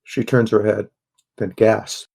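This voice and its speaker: A man narrating a first-person audio drama in a measured, understated way. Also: lowish voice, flat delivery, creaky, a little mellow, breathy edge.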